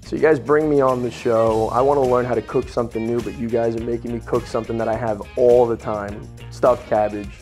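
A man's voice: medium pitch, a little fast, hardly any pauses, soft background music. Joking New man in a kitchen. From Poland, raised in Brooklyn. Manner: lively, joking, many restarts. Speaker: guest actor. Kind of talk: casual interview chat. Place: home kitchen, indoors. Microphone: lapel.

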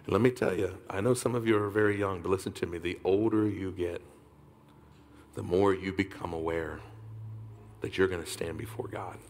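A man in his thirties preaching a sermon on a large church stage, swinging from loud calls to hushed whispers and calling on the crowd to answer back. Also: deep voice, fairly even intonation, normal speed, a little hiss or hum. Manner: preaching with sudden whispered drops and loud rises. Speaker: pastor.

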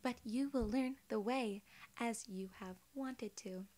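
A soft-spoken woman in her twenties doing an ASMR role-play as a polite alien gatekeeper, with measured, formal phrasing, close to the microphone. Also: fairly high pitch. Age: 20s. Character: young, polite, friendly female alien gatekeeper. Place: small indoor room.

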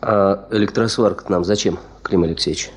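An actor playing an eager, businesslike male expedition leader in a 1970s radio drama, brisk and hearty. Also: sits low, steady tone, slightly rough.

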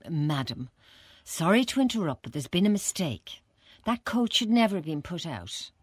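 A woman working in a charity shop in a radio play. Her voice is husky and animated, firm and plain-spoken but ready to apologise. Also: fairly low pitch, normal speed, no echo, clean studio sound. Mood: apologetic.

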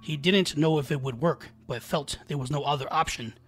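A man's voice: a little high, normal speed, fairly bright tone, breathy edge. A man tells a scary folktale in a slightly raised register. His delivery is scripted and dramatic, building suspense as a storyteller does, over background music. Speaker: storyteller, narrator.

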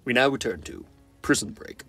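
Man acting a lively, cocky, flirty game survivor. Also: medium pitch, moderate pace, slightly rough, dry recording, pitched below the performer's own voice. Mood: wry, joking. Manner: playful, theatrical, quippy, often sing-song. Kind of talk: fan-made video game character voice lines.

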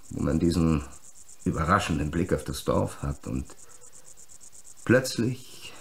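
A weary male film director in a radio play. His low voice is heavy with creak, and he muses in halting, reflective phrases. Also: darkish tone, heavily acted. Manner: slow, halting, musing monologue.

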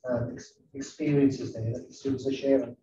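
A man's voice: medium pitch, little pitch movement, moderate pace, highs cut off, a crowd in the background. A man reporting on a project in a meeting, enthusiastic and repeating his words. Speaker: project presenter. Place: large meeting room. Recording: echoey room from far off, noisy.

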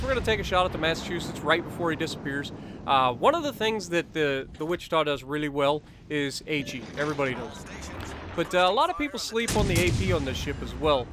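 A man explains his own gameplay in a slightly high, somewhat off voice, clearing his throat between thoughts. He teaches tactics with lots of uh's and restarts. Game gunfire and engine noise sit clearly under his voice. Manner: explanatory, instructive, many uh fillers and restarts. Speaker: gameplay commentator. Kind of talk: recorded game commentary.

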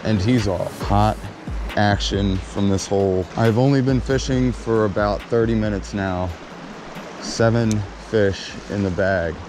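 Upbeat man fishing outdoors, low voice. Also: a little slow, music in the background. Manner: upbeat, chatty, addressing viewers. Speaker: fishing vlogger. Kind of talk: casual running commentary while fishing. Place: outdoors by a creek. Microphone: body-worn action camera.

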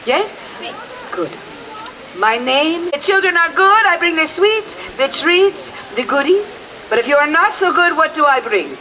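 A woman's voice: extremely high pitch, animated, unhurried, bright, forceful tone, heavily acted. La Befana, an old teasing witch. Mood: playful, theatrical. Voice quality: Italian-accented English, teasing "huh?" tags. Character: La Befana, old Italian gift-giving witch, playful and theatrical. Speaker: theme park storyteller. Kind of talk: live street performance to an audience. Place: outdoor theme park plaza. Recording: distant voice over a crowd outdoors.

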